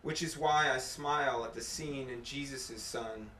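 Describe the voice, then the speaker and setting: A man reads poetry at a slow, deliberate pace, setting short phrases apart with pauses. His voice sits at a middle pitch. The room is echoey, with faint noise behind him. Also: sharp tone. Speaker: poet reading own work. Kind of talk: poetry reading. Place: apartment room at a live reading.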